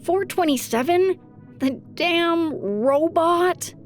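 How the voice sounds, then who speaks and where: Woman acting slow-talking, sassy noir secretary. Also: extremely high pitch, lively intonation, faint music behind, heavily acted. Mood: teasing. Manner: sarcastic, teasing, frank. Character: sassy, blunt female secretary of a private detective. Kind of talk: noir audio drama acting.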